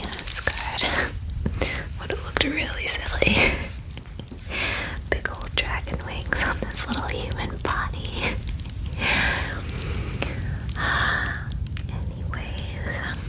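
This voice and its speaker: A woman's voice: natural voice, rough recording. Woman as a whispering, friendly dragon girl. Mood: giddy, musing. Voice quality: soft, breathy whisper. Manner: chatty, gushing, hesitant, reassuring. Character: friendly, eager female dragon in cute human form. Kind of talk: whispered ASMR fantasy roleplay.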